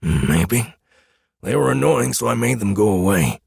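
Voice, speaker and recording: A man playing a gruff, wisecracking old man in a fantasy audiobook, with a folksy, grumbling, irreverent way of talking. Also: lowish voice, expressive, a little slow, no room sound, clean studio sound, full character voice. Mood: dismissive. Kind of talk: fantasy audiobook character acting.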